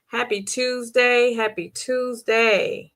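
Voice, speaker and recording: A middle-aged woman with a hoarse voice, hosting a live stream and warmly greeting viewers by name in the chat. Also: a little high, fairly even intonation, slow. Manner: bubbly, repetitive greetings. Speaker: livestream host.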